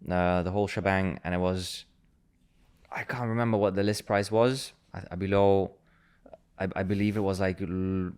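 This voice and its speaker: A man with a very low, smooth voice chats as a podcast guest. He tells his stories at an easy pace, filling the gaps with fillers and the odd laugh. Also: normal speed. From Germany. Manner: relaxed storyteller, many fillers, laughs easily. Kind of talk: casual interview chat.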